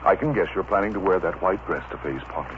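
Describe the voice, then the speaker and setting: A man's voice: sits low, expressive, quick pace. Impassioned radio-soap leading man, muffled. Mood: stern, protective. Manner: impassioned, melodramatic, commanding. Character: ardent, protective, masterful gentleman lover. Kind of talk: old-time radio soap opera acting. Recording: muffled, noisy vintage radio recording.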